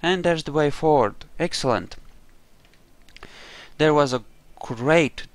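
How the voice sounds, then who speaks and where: A man's voice: on the high side, slow, no echo. A man giving casual commentary on a roleplaying game playthrough, rambling as he thinks aloud, with a dry, wry sarcasm. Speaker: gamer narrating a roleplay playthrough.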